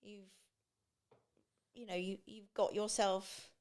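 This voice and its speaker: A woman talking casually as a podcast guest. Her voice sits at a middling pitch. She chats on with many restarts and fillers. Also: little pitch movement, takes it slowly, darkish tone, clean tone. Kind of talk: casual conversation.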